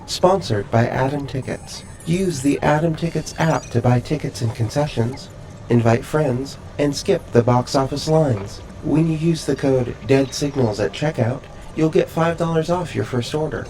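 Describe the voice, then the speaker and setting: A man's voice: medium pitch, normal speed, dark tone, a little hiss or hum, rough recording. A man reading a scripted sponsor message and episode introduction as announcer.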